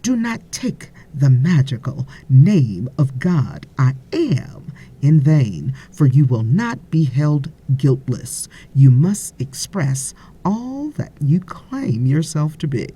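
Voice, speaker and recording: A woman reads a spiritual text as an audiobook narrator. Her voice is mellow and a little breathy and husky. She phrases deliberately, with pauses, and moves her pitch expressively across each sentence. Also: sing-song. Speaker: audiobook reader.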